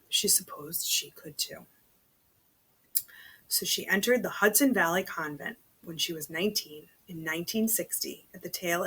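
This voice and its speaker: A woman's voice: medium pitch, fairly bright tone, slightly rough, rough recording. A woman reading a novel aloud as a storytelling host, chatty, dropping into casual muttered asides. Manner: chatty, breaks off to comment in undertones.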